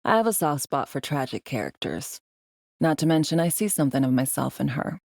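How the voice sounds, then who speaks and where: A woman's voice: low-pitched, animated, a little fast, gravelly, a little mellow, dry recording, exaggerated voice. A woman acting a world-weary undercover agent in a video game, with a dry, sardonic, conversational delivery. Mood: wistful. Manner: dry, sardonic, slangy and clipped. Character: world-weary, wry female undercover spy in a dystopian city.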